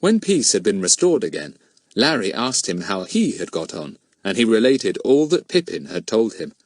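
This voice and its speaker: A man's voice: lowish voice, big pitch swings, moderate pace, dry recording. A man reading a children's story as an audiobook narrator, in scripted narration.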